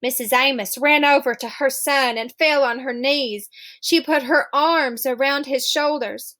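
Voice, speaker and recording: A woman reading a children's novel aloud as an expressive storybook narrator. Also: high-pitched, measured pace.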